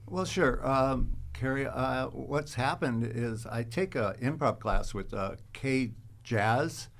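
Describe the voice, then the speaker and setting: Gravelly man telling stories, halting and rambling. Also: mid-range voice. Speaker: guest, actor. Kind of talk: casual interview storytelling.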